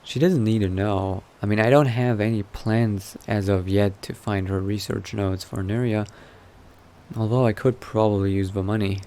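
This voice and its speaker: A man playing a newly turned vampire mage in a game roleplay, musing to himself in a halting, politely understated way. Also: lowish voice, fairly even intonation, a little mellow, close to an everyday voice. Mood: pensive. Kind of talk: in-character video game roleplay narration.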